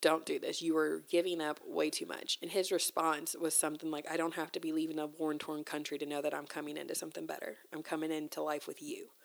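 A woman's voice: sits low, flat delivery, fast talker, gravelly, hardly any pauses. A woman guest talking casually and candidly, telling a personal story in conversation. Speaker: interview guest.

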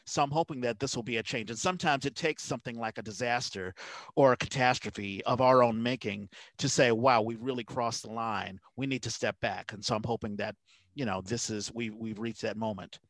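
A man's voice: medium pitch, a little fast, fairly bright tone, no room sound. A man hosting a talk podcast, speaking earnestly in casual conversation and often repeating words as he starts. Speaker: podcast host.

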